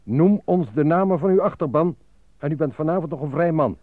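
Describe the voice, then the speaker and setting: A man's voice: a little high, expressive, a little fast, no echo, lightly acted. A man playing a cold, suspicious state interrogator in a Dutch radio drama, clipped and insistent. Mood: accusing, stern. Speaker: actor in a radio play. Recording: phone-like narrow lo-fi radio recording.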